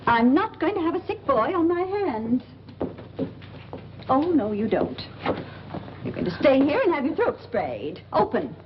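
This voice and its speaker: A woman's voice: sits high, sing-song, unhurried, long pauses. An actress playing a strict, scolding mother in a 1940s film. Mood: stern, threatening. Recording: muffled old film soundtrack with hiss.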